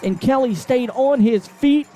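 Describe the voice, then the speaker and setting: A man calling high school football play-by-play on radio, building to excited calls on big plays. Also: a little slow. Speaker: play-by-play announcer.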